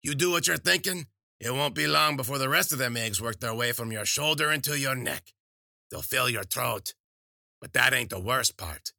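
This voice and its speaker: A man's voice: mid-range voice, normal speed, gravelly, sharp tone, no room sound, clean studio sound, voice pushed up. A man voicing a spiteful old woman witch, scolding and cursing.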